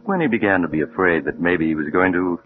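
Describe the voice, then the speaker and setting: An actor playing a sinister yet genial storyteller, narrating a 1940s radio mystery in an ominous, deliberate, teasing way. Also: male voice, low-pitched, phone-quality sound, noisy background, lightly acted. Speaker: host and narrator of a mystery series. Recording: 1940s radio recording, loud music behind.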